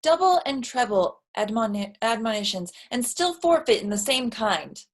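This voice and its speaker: A woman's voice: a little high, very animated, moderate pace. A woman acting a stern, exasperated magistrate in a staged Shakespeare reading, commanding and scolding.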